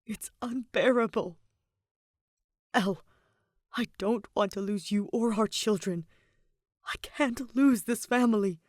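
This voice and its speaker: Woman doing tender worried husband guy, hushed and halting, slow, close dry sound, story drama. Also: on the high side, no echo. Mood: anguished. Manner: hushed, choked, halting delivery. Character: anguished, devoted young husband and father, tender.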